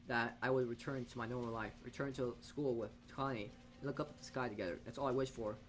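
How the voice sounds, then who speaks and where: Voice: mid-range voice, steady tone, fast talker, soft background music. A gaming video host reading story text aloud dramatically and dropping in casual, blunt asides.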